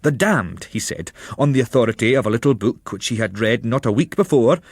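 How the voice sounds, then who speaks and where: A man narrates a literary memoir in a medium-pitched voice. His delivery is measured and expressive, like a practised storyteller. The sound is dry and close, free of room echo.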